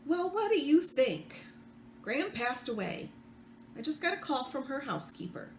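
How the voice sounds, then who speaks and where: A woman's voice: sits high, big pitch swings, moderate pace, pitched above the performer's own voice. A woman voicing a vain, self-centred mother in a novel, curt, blasé and complaining. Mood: irritated. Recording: roomy echo, faint background noise.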